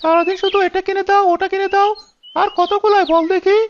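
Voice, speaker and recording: Squeaky, very high-pitched complaining cartoon husband. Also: female voice, fairly even intonation, brisk. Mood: exasperated. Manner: complaining, exasperated. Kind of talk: cartoon character voice acting. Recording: dull audio, faint noise, birdsong. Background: birds chirping.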